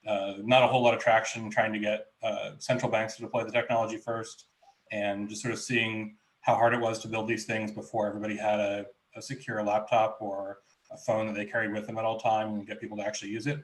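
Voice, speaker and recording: A man introducing himself as a panelist on an online panel, speaking in a steady, even way. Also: on the low side, fast talker, low-quality recording.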